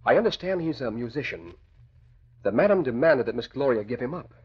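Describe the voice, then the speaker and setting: An actor playing a polite, hesitant, formal household servant with a gossiping streak in an old radio drama. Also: male voice, mid-range voice, very animated, fairly quick, husky. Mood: tattling. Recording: dull, lo-fi old radio recording.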